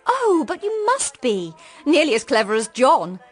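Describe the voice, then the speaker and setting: A woman's voice: high voice, wildly swooping pitch, unhurried, soft background music, heavily acted. An actress playing a naive, cheerful, sheltered young woman in a period radio drama, with a girlish, upper-class English manner. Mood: bright, admiring. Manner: gushing, girlish.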